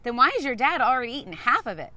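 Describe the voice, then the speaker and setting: A woman's voice: sits high, big pitch swings, fast talker, sharp tone, exaggerated voice. A woman voicing a friendly, curious neighbor boy in a children's storybook, plain-spoken and inquisitive. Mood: puzzled.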